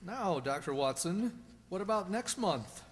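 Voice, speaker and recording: A man's voice: high-pitched, animated, measured pace, loud music behind the voice. A man working as host and announcer, reading scripted announcements in old-time radio style. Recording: long hall reverb.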